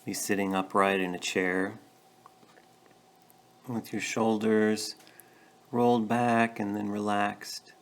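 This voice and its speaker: A man leading a guided meditation, speaking gently and in a measured way with pauses between short phrases. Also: fairly low pitch, little pitch movement. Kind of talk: guided meditation, calm instruction.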